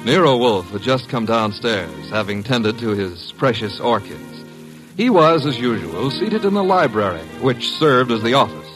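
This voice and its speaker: A man announcing in 1950s radio style, emphatic and showmanlike, with a husky voice. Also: medium pitch, big pitch swings, measured pace, over music, low-quality recording. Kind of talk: 1950s radio announcing, scripted promo and narration.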